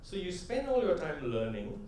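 A middle-aged man tells stories from a conference stage, asking the crowd rhetorical questions as he goes. His voice is mellow and dark, and it carries through a very echoey hall. He sounds a little distant from the mic, with faint noise underneath. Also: on the high side, moderate pace. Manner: animated storytelling, gestures, rhetorical questions. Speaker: conference speaker. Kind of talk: stage keynote talk with anecdotes. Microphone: headset mic.

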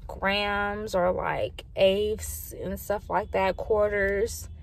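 A woman's voice: mid-range voice, little pitch movement, drawn-out words, vocal fry. A slightly tipsy woman vlogger chatting casually from inside a car, rambling and swearing freely. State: a little tipsy. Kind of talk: casual chat. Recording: noisy in-car recording.

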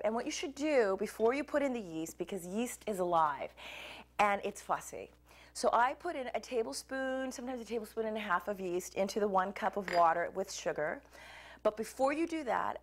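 A woman in her forties hosting a cooking show, talking casually to camera as she mixes pizza dough in a kitchen. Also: mid-range voice. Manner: chatty and relaxed, talks while mixing dough. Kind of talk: demonstrating a recipe to camera. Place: home kitchen set, indoors.

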